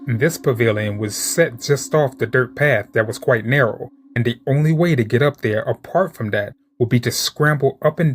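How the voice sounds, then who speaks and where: A man narrating true-encounter horror stories in a steady, measured reading voice. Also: medium pitch. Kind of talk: scripted horror story narration.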